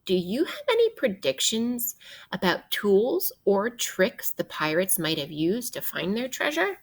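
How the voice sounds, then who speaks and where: A woman teacher reading a children's book aloud in an expressive way, guiding young listeners with questions and pauses. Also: medium pitch. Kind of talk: interactive read-aloud of a children's nonfiction book.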